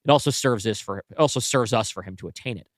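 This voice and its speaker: A man co-hosting a podcast, brainstorming casually and thinking aloud in halting, self-correcting bursts. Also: fairly low pitch, dry recording, studio quality. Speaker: podcast co-host and writer.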